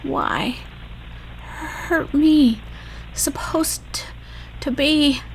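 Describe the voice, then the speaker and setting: Woman doing a lonely dead girl's ghost thru a radio, way higher voice, halting, sounds distant n noisy. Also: heavily acted. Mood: wounded, accusing. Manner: halting, plaintive fragments. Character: ghost of a dead sister, lonely and wounded, speaking through radio static.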